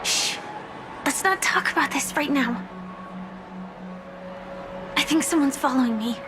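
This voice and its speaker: A young game girl with a very high voice. She is playful and secretive, with a sly streak. Music plays clearly behind her. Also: unhurried. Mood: secretive, hushed. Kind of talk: video game voice acting.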